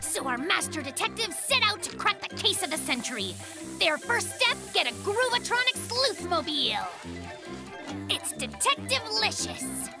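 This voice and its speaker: A woman voicing an excitable, self-important young male polar bear cub who plays detective in a cartoon, speaking with bossy melodrama. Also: very high-pitched, heavily acted. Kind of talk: cartoon acting with mock detective narration.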